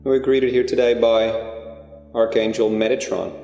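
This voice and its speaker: A man leading a spiritual guided meditation, speaking in slow, deliberate phrases with long pauses. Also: medium pitch, little pitch movement, gravelly, warm tone, dull audio. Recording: echoey hall-like reverb under music. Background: music.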